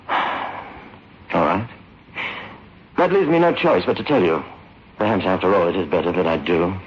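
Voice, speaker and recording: An actor playing a grave, controlling, secretive brother in an old radio horror drama, deliberate and ominous. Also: male voice, low voice, expressive, husky, exaggerated voice. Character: grave, secretive, controlling brother guarding his afflicted sister. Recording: muffled, noisy old radio recording.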